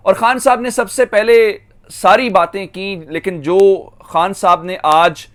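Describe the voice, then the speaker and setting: A man with a Pakistani accent hosts an online political news monologue. His voice sits clearly high for a man. He speaks emphatically, stretching the phrases he wants to stress. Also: a bit bright. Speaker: news commentator and channel host.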